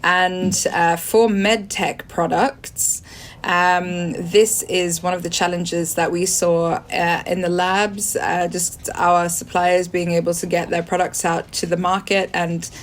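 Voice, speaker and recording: A woman's voice: on the low side, little pitch movement, slow pace. Swedish woman with a British accent, hesitant. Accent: British English. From Sweden. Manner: hesitant, many ums and restarts. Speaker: guest. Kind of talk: conversational interview answers.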